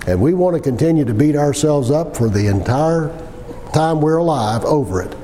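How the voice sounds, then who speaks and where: A man's voice: a little high, animated, moderate pace, mellow. A man in his sixties preaching a sermon in a folksy, conversational way, stressing points through repetition and rhetorical questions. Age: 60s. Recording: long hall reverb with background noise.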